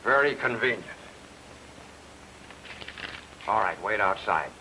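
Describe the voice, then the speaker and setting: An actor playing a stern, suspicious police chief who talks brusquely and accusingly in 1940s noir style. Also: male voice, fairly low pitch, animated, fairly quick, lightly acted. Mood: stern, sarcastic. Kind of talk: 1940s film noir dialogue. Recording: old film soundtrack with faint noise.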